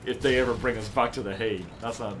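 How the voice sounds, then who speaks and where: A man in casual co-op gaming banter, ranting and joking with frequent laughs. His voice sits at an ordinary middle pitch. A steady hiss of noise runs under his headset mic. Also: vocal fry. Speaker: gaming channel co-host.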